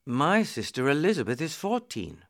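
Boy prince for a storybook, played by a man: proud, raised pitch, sweeping intonation. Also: very animated, normal speed. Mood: earnest. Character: young boy prince, proud, curious, imperious. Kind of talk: storybook character reading.

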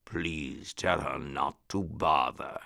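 A man voicing a big, polite male circus lion for a storybook, with a loud rumbling voice and a courtly, apologetic manner. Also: sing-song, slow, gravelly, no echo, clean studio sound, exaggerated voice. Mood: hushed, polite.

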